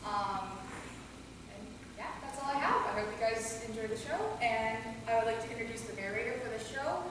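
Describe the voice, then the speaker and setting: A woman's voice: fairly high pitch, animated, fairly quick. A woman giving an informal, hesitant introduction to a show as its playwright, unscripted and unpolished. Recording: echoey hall, distant mic, clear noise.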